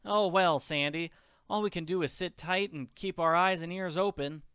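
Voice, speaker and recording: Comic-strip orphan girl , read by a narrator: plucky, earnest, folksy slang, clear tone, close dry sound. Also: mid-range voice, normal speed, a bit bright, dry recording, highs cut off, lightly acted. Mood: pensive.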